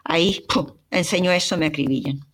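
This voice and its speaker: Expressive breathy Chilean woman storyteller. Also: on the low side, a little fast. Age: young adult. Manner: expressive, dramatic retelling with sighs and exclamations. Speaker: podcast narrator. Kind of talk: personal travel storytelling.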